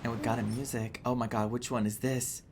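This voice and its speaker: A man's voice: mid-range voice, flat delivery, a little fast, noisy background. A man from Greece with a Greek accent, a non-native English speaker giving casual commentary as he plays a game. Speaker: game streamer. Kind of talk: casual playthrough commentary, reading dialogue aloud. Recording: game music behind the voice.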